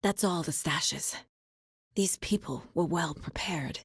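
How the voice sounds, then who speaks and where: A woman's voice: lowish voice, slow pace, breathy edge, dry recording, studio quality, full character voice. A woman playing a serious, determined FBI agent in a video game, often musing to herself as she investigates. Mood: serious, questioning. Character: determined, level-headed female FBI agent investigating supernatural horror. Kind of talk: dramatic video game voice lines.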